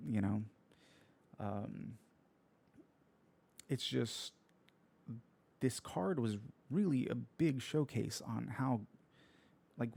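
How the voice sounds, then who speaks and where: A man hosting a casual solo fight recap, with a sleepy, croaky voice, rambling through fillers and restarts and swearing freely. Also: mid-range voice, warm tone. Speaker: podcast host.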